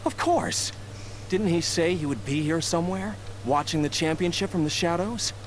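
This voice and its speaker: An actor playing a bitter, entitled grown son scheming for money in a video game, with a sneering, resentful manner. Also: male voice, on the high side, normal speed, background noise, natural voice. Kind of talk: English video game voice acting. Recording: music clearly behind.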